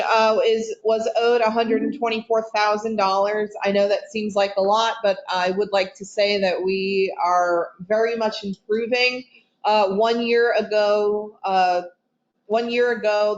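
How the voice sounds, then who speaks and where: A woman manager giving a monthly report at a meeting, hoarse and losing her voice, apologetic and hesitant. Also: medium pitch. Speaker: community manager. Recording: echoey room, dull sound, faint noise.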